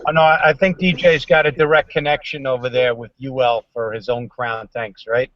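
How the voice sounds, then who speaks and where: A male guest talks in an easygoing, slightly apologetic way and laughs along with the others. His mid-pitched voice sounds dull and lo-fi through the video call. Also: flat delivery, normal speed. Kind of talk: casual chat on a video call.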